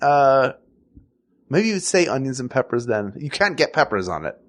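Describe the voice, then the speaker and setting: A man with a nasal Philadelphia accent trades jokes as a podcast co-host. His pitch swings widely as he talks, and his banter stays playful and loose. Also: big pitch swings, moderate pace. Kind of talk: casual comedy chat.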